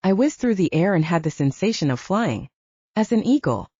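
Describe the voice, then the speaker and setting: A woman reads dramatic fiction in a low, mellow voice. Her pitch moves in lively swings as she tells the story in the first person. The recording is dry and a little dull. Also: moderate pace, husky, no room sound. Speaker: audiobook narrator.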